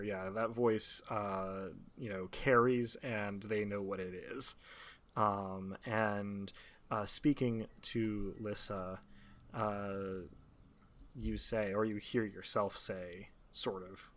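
Man, game master, fairly low voice, casual off-the-cuff tabletop narration. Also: fairly even intonation, slow pace, fairly bright tone.

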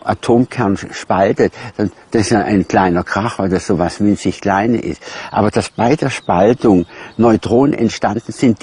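Male interviewee, very hoarse-edged and fairly deep, halting informal explanation with repeats. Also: darkish tone. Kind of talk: spontaneous explanation.

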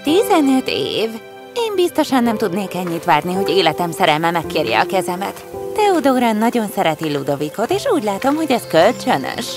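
Earnest storybook heroine, played by an actress, mid-range, very expressive melody, faint music behind. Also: female voice, mid-range voice. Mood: eager, scheming. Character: women in a fairy tale: eager schemer, resigned friend. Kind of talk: fairy tale character acting.

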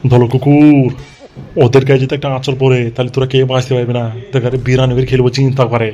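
Guy doing angry cartoon politician boss, quick flat scolding, music behind. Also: mid-range voice, dull audio, slight background noise, close to an everyday voice. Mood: tense. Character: vengeful, irritable, foul-mouthed politician boss. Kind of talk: amateur comedy cartoon dub.

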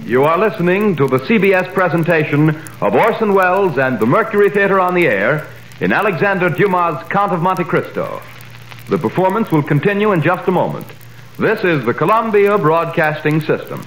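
A man announcing formally for a 1930s radio broadcast, in a crisp, lively delivery, heard as an echoey vintage broadcast. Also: high-pitched, normal speed, a little hiss or hum.

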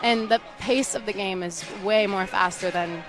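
A woman's voice: mid-range voice, moderate pace, music in the background, poor audio. A young woman college basketball player answering postgame questions in a friendly, casual way, speaking into a headset mic at a courtside table in a gym. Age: young adult.

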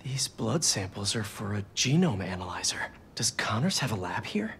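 A man's voice: fairly low pitch, very animated, normal speed, a bit bright, husky, heavily acted. A man acting an earnest, concerned young superhero in a video game cutscene. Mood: pensive, investigating.

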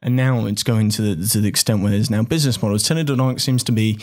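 A man's voice: lowish voice, quick pace, darkish tone. A man hosting a podcast, asking his guest long, thoughtful questions. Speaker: podcast host. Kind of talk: interviewing a guest.

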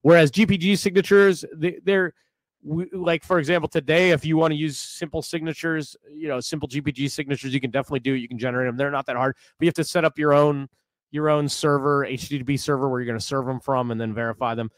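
A man's voice: fairly high pitch, fairly even intonation, quick pace, no echo, low-quality recording. Casual man presenting, dry close sound. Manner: conversational, candid, frequent hedging asides. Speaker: conference presenter, software technologist. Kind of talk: informal technical presentation with slides. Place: indoor room with bookshelves, remote video presentation.

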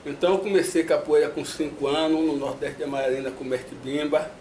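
A man's voice: fairly high pitch, steady tone, quick pace, dark tone. Older Bahian man in echoey room. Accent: Bahian Portuguese. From Salvador, Brazil. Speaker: interviewee. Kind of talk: interview testimony. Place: indoors in front of a flag backdrop. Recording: echoey room with faint noise.

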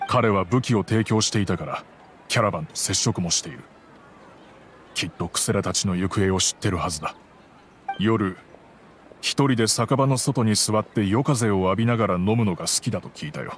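An actor playing a middle-aged, fatherly master of a wealthy house in an anime game, measured, gentle and remorseful. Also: lowish voice, a little hiss or hum, close to an everyday voice. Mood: composed, helpful. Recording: soft music behind the voice.

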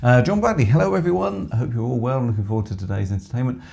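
Hoarse man hosting a live shop stream. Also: medium pitch, rapid-fire, a little mellow. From England. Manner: chatty, many ums, reads viewer comments aloud. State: hoarse from screaming. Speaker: music shop presenter. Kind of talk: live stream chat reading comments. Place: small music shop studio room with keyboards. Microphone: headset with microphone.

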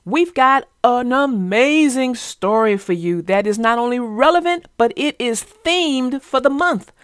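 A woman narrating a storybook for children in an animated, expressive way. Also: a little high, a little slow, smooth, no echo, clean studio sound. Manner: expressive, addressing listeners directly. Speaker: children's read-aloud narrator.

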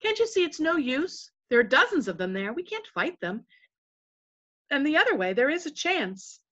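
A woman plays a courageous young storybook girl. Her voice is high, bright and lively, with an earnest, plain-spoken way of asking and answering, recorded with slightly dull sound. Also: moderate pace. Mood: resolute.

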